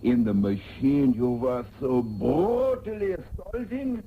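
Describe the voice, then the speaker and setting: Slow, menacing interrogator. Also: male voice, mid-range voice, lively intonation. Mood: smug, threatening. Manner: theatrical, drawn-out, coaxing threats. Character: menacing, suave male interrogator with mock-polite patience. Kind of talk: satirical radio soap opera acting. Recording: muffled, noisy old radio tape.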